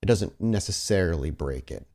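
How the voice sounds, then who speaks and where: Man, low voice, game master, improvised scene narration, casual table talk, descriptive. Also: lively intonation, moderate pace, darkish tone. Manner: conversational, descriptive, addressing the player directly.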